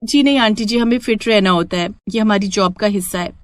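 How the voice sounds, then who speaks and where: A woman voicing an exasperated young air hostess in an animated story, prim and sharp-tongued. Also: medium pitch, brisk, no room sound, clean studio sound, natural voice. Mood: polite.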